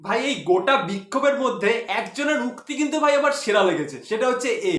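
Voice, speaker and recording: Fast, high-pitched young man, echoey. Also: animated. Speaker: host of a comedy roast show. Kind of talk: energetic scripted roast commentary. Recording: roomy echo, loud music behind. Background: music.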